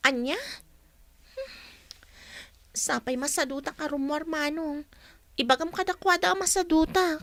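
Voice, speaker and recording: A woman's voice: high-pitched, steady tone, unhurried, pauses often, fairly bright tone, no echo. An actress playing a shy, reserved young woman in a radio drama. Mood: reluctant.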